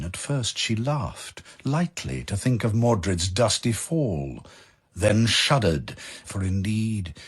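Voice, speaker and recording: Guy narrating epic poetry, solemn n slow, deepish breathy voice, dramatic pauses.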